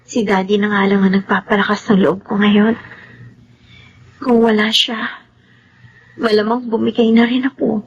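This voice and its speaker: A woman's voice: medium pitch, little pitch movement, normal speed, pauses often, slightly rough. A woman playing a vulnerable, melancholy young daughter of a rich family in a Filipino radio drama. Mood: hurt, resigned. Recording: muffled, noisy recording.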